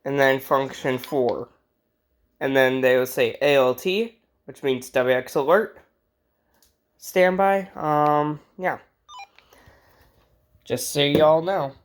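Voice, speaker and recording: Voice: medium pitch, takes it slowly, pauses often. A vlogger with an American accent chatting casually and unscripted in a bedroom, jokey, rambling and easily sidetracked while showing off a collection. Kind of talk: casual unscripted vlog chat. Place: indoors in a bedroom.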